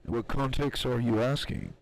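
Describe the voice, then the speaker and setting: A man acting as a thoughtful, philosophical scientist in an audio drama, speaking in a measured, explanatory, reflective way. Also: mid-range voice, animated, vocal fry, rough recording.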